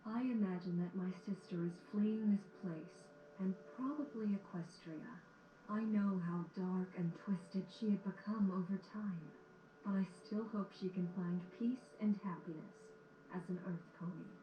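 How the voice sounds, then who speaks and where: A woman playing a wise, solemn female cartoon pony in a fan audio drama, speaking in a measured, formal way. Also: medium pitch, lightly acted. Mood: sorrowful, hopeful. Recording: muffled, noisy, roomy playback.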